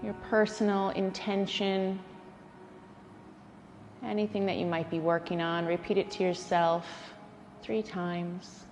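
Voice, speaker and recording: A woman leading a guided yoga nidra relaxation, speaking in a soothing, gentle way with unhurried pauses. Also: on the low side, little pitch movement. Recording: long reverberant hall, faint background noise.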